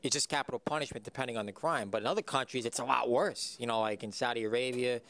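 A man's voice: mid-range voice, fast talker, a bit bright. A man in the audience making a casual, rambling comment peppered with "you know" fillers. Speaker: audience member.

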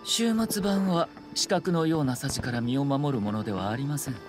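A man's voice: mid-range voice, big pitch swings, rapid-fire, close to an everyday voice. An actor playing an elegant, unruffled young noble swordsman in an anime game, formal, polite and coolly condescending. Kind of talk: Japanese anime game trailer acting. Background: very loud trailer music.

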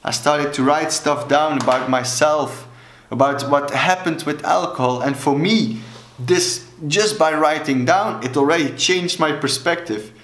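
Slow-talking Belgian man, Dutch accent. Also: a little high, a bit bright, a little hiss or hum. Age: 30s. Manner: slow, deliberate, gestures with both hands. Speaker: alcohol coach. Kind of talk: earnest talk to camera. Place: small room indoors, plain wall. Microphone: camera mic, head and shoulders. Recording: echoey room.

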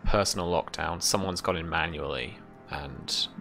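A young man improvising tabletop game narration as game master, halting and thinking aloud. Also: low voice, gravelly, sharp tone, soft background music. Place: small room at home, indoors.

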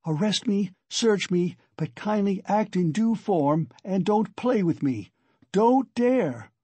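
A man's voice: sits high, expressive, normal speed, a little mellow, breathy edge, dry recording, pitched above the performer's own voice, heavily acted. A man voicing a haughty, tormented suspect in an audiobook, imperious, agitated and furious. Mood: defiant fury. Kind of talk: dramatic audiobook character reading.

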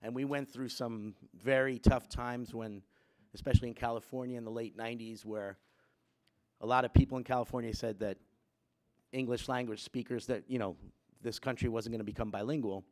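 A man's voice: medium pitch, steady tone, a little fast, darkish tone. A man in his fifties from Puerto Rico gives a personal talk to students at a chapel lectern, conversational with many fillers and growing emphatic. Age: 50s. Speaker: chapel speaker. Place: wood-panelled school chapel, students seated behind. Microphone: gooseneck lectern mic.

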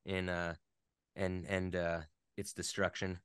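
A man with a clean, fairly low voice talks as a guest in a casual interview. He rambles in an animated, enthusiastic way. The sound is dry, with very little room around it. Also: takes it slowly, clean tone, dry recording.

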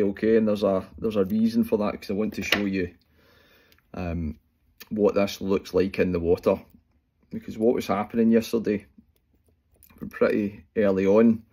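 A Scottish man in his forties explaining fly tying step by step at home, talking in a relaxed way with plenty of fillers as he works. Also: on the low side. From Scotland. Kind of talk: casual talk-through tutorial. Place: small room at home, indoors. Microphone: phone camera close up.

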